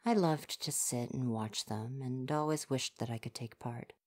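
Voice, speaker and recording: A woman plays a prickly, soft-hearted young would-be ninja in an ASMR roleplay, with a low voice for her range. He snaps back defensively and gets flustered, yet talks earnestly and gently about his hopes. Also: moderate pace. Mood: wistful.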